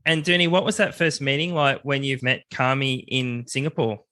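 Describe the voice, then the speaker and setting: A podcast host with a bright tone asks questions and nudges the guests along with short prompts. The talk is easy and conversational. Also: mid-range voice, normal speed. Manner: brief encouraging prompts. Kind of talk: interviewing.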